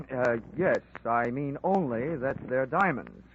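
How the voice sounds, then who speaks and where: A man's voice: medium pitch, lively intonation, slow pace, gravelly, narrow, tinny audio, heavy background noise. An American man playing a cocky, wisecracking hard-boiled investigator with a sardonic delivery in a 1940s radio drama. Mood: teasing.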